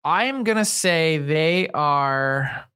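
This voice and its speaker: A man co-hosting a casual sports podcast, thinking out loud and weighing his picks. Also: on the high side, animated, drawn-out words, clear, resonant tone, dry recording, clean studio sound. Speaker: podcast co-host.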